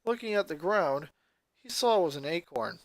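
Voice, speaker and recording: A narrator reading a scripted story aloud in an expressive way. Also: mid-range voice, moderate pace, dry recording.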